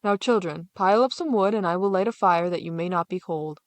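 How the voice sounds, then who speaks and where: A woman voicing a weary, soft-hearted father in a fairy tale, plain, caring and mildly stern. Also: lowish voice, a little fast, no echo, close to an everyday voice. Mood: fatherly.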